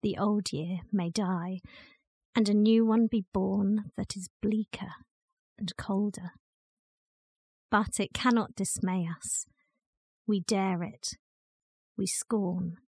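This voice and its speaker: A woman reciting a poem with measured, deliberate phrasing and pauses. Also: medium pitch, steady tone, a little mellow, breathy edge, no room sound. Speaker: volunteer or staff poetry reader. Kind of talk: poetry recitation.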